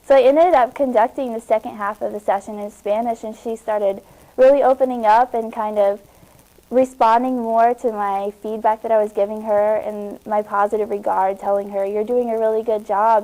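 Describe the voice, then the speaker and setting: Student tutor, female, young, American. Relaxed, warm tone, average pitch, telling a personal story. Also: low-quality recording. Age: young adult. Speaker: peer writing tutor. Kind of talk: personal anecdote to camera.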